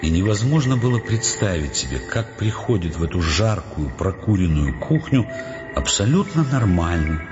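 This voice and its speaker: An actor as the grave storyteller in a Russian audio drama, reading scripted literary narration of a tense novel. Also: male voice, deep voice, very animated, normal speed, highs cut off, over music. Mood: measured, tense.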